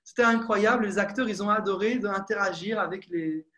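A man's voice: sits high, brisk, sharp tone. A young man from France, a film director, talking about his work on a video call with many fillers and restarts, using an earphone mic in a small room at home. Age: young adult.

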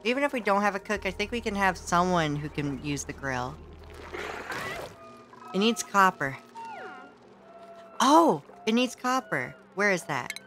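A woman streaming a game with casual, playful commentary, laughing often, her voice a little hoarse and sore. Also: mid-range voice, big pitch swings, measured pace, pauses often, a bit bright, faint music behind. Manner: giggly, playful, exclaims at the game.

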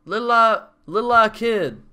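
A man's voice: sits high, normal speed, bright, forceful tone, clear, resonant tone. A man in his twenties reacting casually on a stream, bantering loosely and profanely with his chat over a headset mic. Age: 20s.

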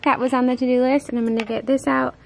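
High-voiced American woman vlogging casually. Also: level tone, quick pace. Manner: informal, meandering, thinking aloud while tidying. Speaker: vlogger, mother preparing for a baby. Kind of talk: casual vlog chat to viewers. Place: inside a home, moving between rooms. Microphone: handheld camera mic. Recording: handheld camera with clear background noise.

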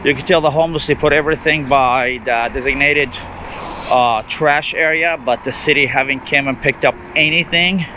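Man vlogger, raised pitch, walking street, traffic noise clear behind; rambling narration. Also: steady tone. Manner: rambling, halting, with ungrammatical phrasing. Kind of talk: walking street vlog commentary. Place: city sidewalks outdoors.

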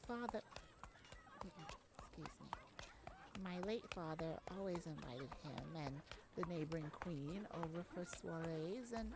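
Woman doing brave worried princess for asmr roleplay, slow gentle earnest, noisy recording. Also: lowish voice. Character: brave, worried young princess on a secret mission. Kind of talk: ASMR audio roleplay to the listener.

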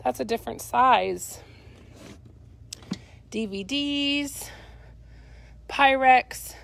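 An upbeat woman with a Midwestern accent, her voice sitting a little high, chats with live viewers as she walks a cluttered warehouse. She is recorded on a handheld phone. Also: drawn-out words, vocal fry, a bit bright. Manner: upbeat, chatty, talking to live viewers while walking. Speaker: auction company staff. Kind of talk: live walkthrough of auction lots. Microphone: handheld phone camera.

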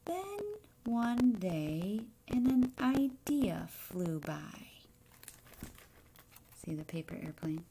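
A middle-aged woman reads a children's book aloud in deliberate short phrases, pausing to put questions to young listeners. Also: medium pitch, big pitch swings, noisy background. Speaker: teacher reading a picture book aloud. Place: indoor room in a home.

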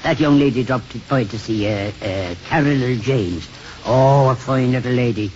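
An actor playing a humble old parish priest in a 1950s radio drama, rambling and halting as he talks. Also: medium pitch, a little mellow, close to an everyday voice. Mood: mild, kindly. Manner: rambling, halting, self-deprecating. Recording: muffled, lightly noisy 1950s radio broadcast.

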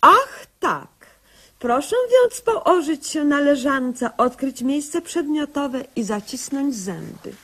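A woman's voice: high-pitched, lively intonation, slow pace, fairly bright tone, heavily acted. An actress playing a self-important young woman doctor who narrates her own cases in a pompous, official way in a radio comedy sketch. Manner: pompous, bureaucratic, mock-official.

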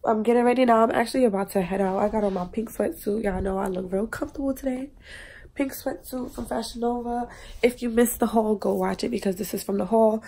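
A woman's voice: on the high side, a little fast, creaky. Chatty young woman, stuffy cold voice. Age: young adult. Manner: chatty and animated, slangy. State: congested, recovering from a cold. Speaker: vlogger. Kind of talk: casual vlog talk to camera. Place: small room indoors. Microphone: phone camera at arm's length.

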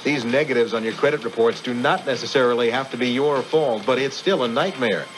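Male hard-sell radio ad voice, mid pitch, emphatic delivery, music underneath. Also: a little fast, low-quality recording. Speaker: commercial announcer.

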